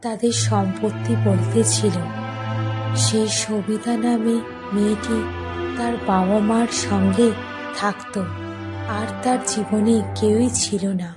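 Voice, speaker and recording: A woman's voice: mid-range voice, fairly even intonation, takes it slowly, lightly acted. A woman acting as the storyteller, narrating a scripted village ghost tale for a cartoon. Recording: music under the voice.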